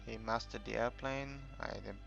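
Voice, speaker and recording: A man giving casual, unscripted game commentary in a halting, rambling way, as if thinking aloud while he explains things. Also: medium pitch, steady tone, creaky, a bit bright. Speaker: gaming video creator narrating a tutorial. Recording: dull lo-fi home recording, music behind.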